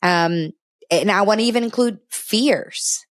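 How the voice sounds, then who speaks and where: A woman's voice: medium pitch, unhurried, no room sound, studio quality. A middle-aged woman from Brazil, a native Portuguese speaker, co-hosting a family talk show in an earnest, emphatic, conversational way. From Brazil, moved to the US at eleven.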